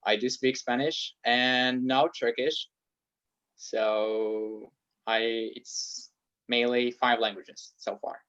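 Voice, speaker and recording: A young man talks through his answers in a relaxed interview. He hesitates often and fills pauses with little sounds. His voice sits in a middle pitch, and the recording sounds dull and lo-fi. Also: clear, resonant tone. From Algeria. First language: Arabic and French. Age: 20s. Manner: hesitant, many fillers. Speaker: guest. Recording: dull lo-fi audio.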